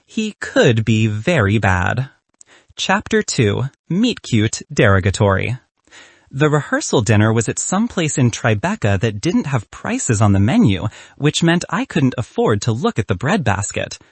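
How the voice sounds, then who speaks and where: A man reading a scripted first-person story as an audiobook narrator, with an expressive, wry delivery. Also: medium pitch, measured pace, slightly rough, no room sound.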